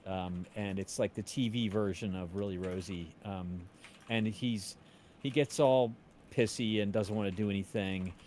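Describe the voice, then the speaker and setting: A man's voice: on the low side, fairly even intonation, measured pace, a little mellow, slight background noise. A middle-aged man chatting casually on a group stream, thinking aloud as he tries to recall things. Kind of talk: casual chat.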